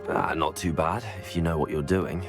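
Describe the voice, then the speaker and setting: A man's voice: sits low, steady tone, moderate pace, music in the background. A man playing a brave, polite teenage wizard hero in a video game, with an earnest manner. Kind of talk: video game character dialogue.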